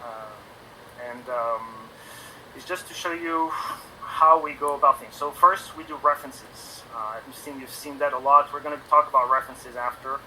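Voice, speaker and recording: A man in his forties with a French accent speaks in a loose, halting English, with ums and restarts all through. His voice sits in an ordinary middle range. A steady hiss runs under the stream audio. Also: mid-range voice, poor audio. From France. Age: 40s. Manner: hesitant, many ums and restarts. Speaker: animation guest on a stream. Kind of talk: casual interview talk.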